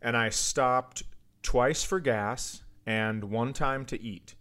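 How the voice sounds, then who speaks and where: A man's voice: medium pitch, takes it slowly, sharp tone. A man hosting a comedy podcast, bantering casually and telling self-deprecating, profane stories.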